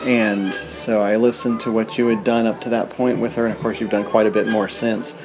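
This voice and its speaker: A man's voice: fairly low pitch, flat delivery, moderate pace. A man, a podcast host, leaving a friendly, casual congratulatory message. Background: music.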